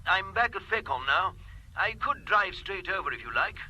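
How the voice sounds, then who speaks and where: An apologetic, anxious man calls in a radio drama. His voice sits high and sounds bright and sharp, and he keeps stalling with little hesitations. A faint hiss lies under the recording. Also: expressive, muffled recording, full character voice. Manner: hesitant, apologetic, halting with ums. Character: apologetic anxious middle-aged man on the phone. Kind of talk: radio play acting.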